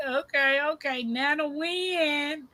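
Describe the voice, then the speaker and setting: Loud animated woman chatting. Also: slow, dry recording. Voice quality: says she has a deep voice. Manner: loud, animated storyteller who laughs often. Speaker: livestream host. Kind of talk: casual chat.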